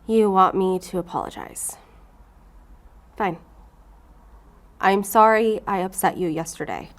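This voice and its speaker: A woman's voice: mid-range voice, a little slow, natural voice. Driven, anxious woman FBI agent, acted. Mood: guarded. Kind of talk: audio fiction drama acting.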